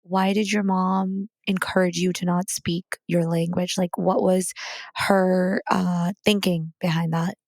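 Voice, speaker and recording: A young woman hosting a podcast, telling a story in a chatty, animated way and then welcoming a guest. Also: lowish voice, measured pace, no echo, clean studio sound. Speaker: podcast host. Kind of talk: casual storytelling and interview chat.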